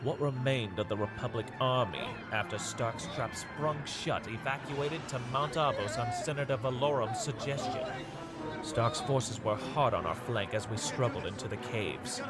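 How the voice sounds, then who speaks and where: Man as a measured, dry Jedi storyteller. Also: medium pitch, loud music behind the voice, natural voice. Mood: matter-of-fact. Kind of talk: comic dub narration.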